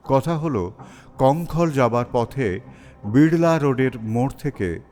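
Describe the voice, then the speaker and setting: A man's voice: medium pitch, animated, unhurried, darkish tone, soft background music. A man narrating a scripted story as its storyteller.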